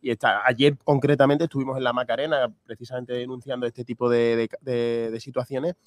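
An Andalusian man answers a radio interview at a quick clip, the sound close and dry. He talks with emphasis and repeats his key phrases. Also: medium pitch, little pitch movement, no echo. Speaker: political candidate, interview guest.

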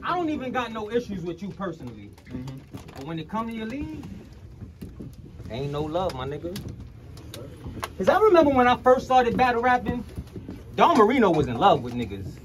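A man's voice: high voice, sing-song, moderate pace, pauses often. A young man from New York rapping a confrontational battle verse in a crowded indoor room. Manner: confrontational, storytelling. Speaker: battle rapper. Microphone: handheld camera at close range. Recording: crowd chatter in a packed room.

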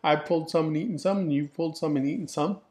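A man's voice: fairly high pitch, fast talker, dark tone. Easygoing middle-aged man rambling. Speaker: vlog host. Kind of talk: casual chat. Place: living room, on a couch. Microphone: camera in front.

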